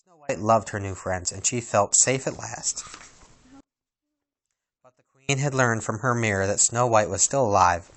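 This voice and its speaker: A man reading a children's storybook aloud as storyteller, with a dramatic, expressive delivery. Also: fairly low pitch, normal speed, long pauses.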